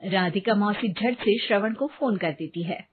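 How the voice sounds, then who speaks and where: A woman narrating a scripted animated story. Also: mid-range voice, moderate pace. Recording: narrow phone-like sound, faint noise.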